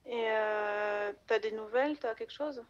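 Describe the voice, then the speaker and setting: A woman acts out a caring, slightly scatterbrained woman in an online roleplay game. Her voice is fairly high, heard through dull voice-chat sound. She talks informally, restarting sentences and poking fun at herself. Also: a little slow, natural voice. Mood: chatty, shy. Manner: informal, hesitant with frequent restarts. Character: chatty, caring, self-deprecating woman, playfully scatterbrained. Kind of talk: improvised in-character roleplay chat.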